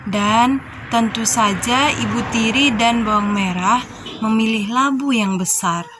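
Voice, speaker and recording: Young female storyteller for children's tale narration, measured and slow, with clear background noise. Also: on the high side.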